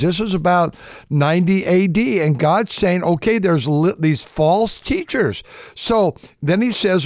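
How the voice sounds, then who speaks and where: A man with a raspy voice preaching an impassioned sermon, stammering and restarting, then surging into shouted praise. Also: fairly high pitch, no echo, clean studio sound. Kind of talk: fervent radio sermon.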